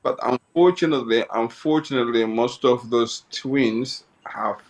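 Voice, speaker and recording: A man from Somalia, a guest in an interview telling his life story, often halting and repeating phrases as he goes. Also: medium pitch, rough recording.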